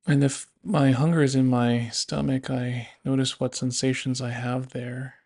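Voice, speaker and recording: A man narrating a guided body scan meditation in a gentle, unhurried, instructive manner. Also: medium pitch, little pitch movement.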